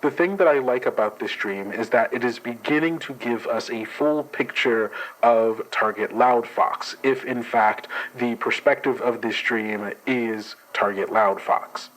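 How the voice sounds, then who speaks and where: A man playing a tabletop game, narrating a dream in a slow, measured way and then analysing it. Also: mid-range voice, a bit bright, low-quality recording. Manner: slow, measured narration, turning casual and profane. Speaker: player. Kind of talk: actual play storytelling.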